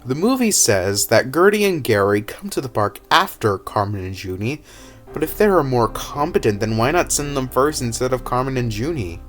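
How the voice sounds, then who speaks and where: A man breaks down a movie in a casual, rambling way. His pitch swings widely as he gets worked up, he stumbles and corrects himself, and his voice is still recovering. Faint music plays underneath. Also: moderate pace. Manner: animated, exasperated, rambling with self-corrections. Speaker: video essayist.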